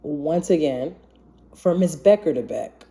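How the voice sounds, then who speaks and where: A woman reading a rhyming children's storybook aloud in an expressive, measured manner. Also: deep voice, a little hiss or hum. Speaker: children's book reader.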